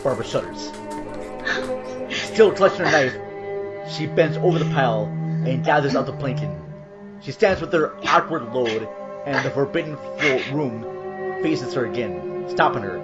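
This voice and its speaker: Male narrator, mid-pitched, for horror audio drama. Dramatic scripted storytelling over a very loud score. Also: animated, normal speed, dull audio. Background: loud dramatic music.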